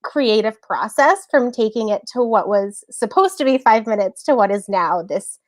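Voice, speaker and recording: A woman's voice: fairly high pitch, lively intonation, moderate pace, no room sound. A woman hosting a casual interview, speaking in an informal, effusive way. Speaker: interview host.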